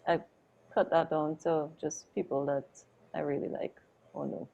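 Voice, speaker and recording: A woman, a photographer and interview guest, telling her story in a chatty, animated way with lots of "like" and "oh my God". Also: sits low, unhurried, creaky, slight background noise, low-quality recording.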